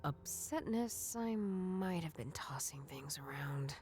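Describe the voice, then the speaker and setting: A woman playing a jaded, sardonic detective in a noir video game, musing in a dry, weary deadpan. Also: sits low, slow, soft background music. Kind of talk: video game voice acting, inner monologue.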